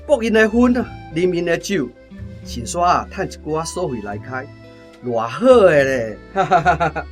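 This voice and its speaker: A brash Taiwanese veteran soldier in a radio drama, lazy and scheming. He talks offhand and cocky in a fairly high, swooping voice over background music. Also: sing-song, moderate pace. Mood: boastful.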